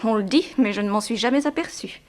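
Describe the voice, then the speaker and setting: A woman's voice: a little high, fast talker, close to an everyday voice. An actress playing a sorrowful young woman in a 1960s French radio drama, restrained and plaintive. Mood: bitter, sorrowful. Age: young adult. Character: sorrowful young daughter of a harsh plantation owner.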